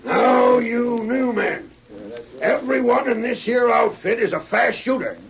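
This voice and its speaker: A menacing American outlaw chief from a radio western. The voice sits high for a man and is heavily gravelled, snapping out threats and orders in rough cowboy talk. The old recording is dull and narrow. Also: animated, measured pace, a crowd in the background, heavily acted. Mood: commanding. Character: domineering, angry outlaw gang boss of the Old West.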